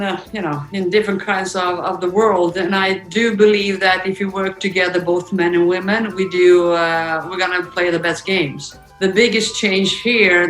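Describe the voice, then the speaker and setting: An older woman with Swedish as her first language, answering interview questions thoughtfully over a video call, with fillers and pauses. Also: fairly low pitch, a bit bright, reverberant, rough recording. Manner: thoughtful, halting speech with fillers. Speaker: interview guest, football coach. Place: office room indoors.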